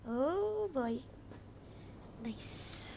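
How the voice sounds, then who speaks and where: Female streamer, Indian accent, high pitch, casual murmured thinking-aloud, noisy recording. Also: expressive, drawn-out words, warm tone. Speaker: game streamer. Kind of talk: casual live-stream chat, reacting and reading game dialogue aloud. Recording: clearly noisy home stream.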